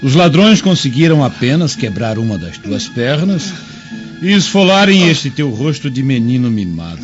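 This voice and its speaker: A man's voice: medium pitch, very animated, normal speed, dull audio, faint music behind, natural voice. An actor playing a greedy, sly old man in a radio drama, with a mocking, wheedling and theatrical manner. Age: older.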